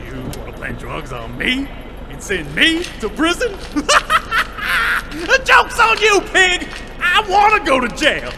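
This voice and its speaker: Shrill, creaky, gloating bum. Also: male voice, wildly swooping pitch, over loud music, full character voice. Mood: gloating, defiant. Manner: drawn-out, gloating. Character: gleefully defiant down-and-out bum taunting police. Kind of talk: audio drama acting.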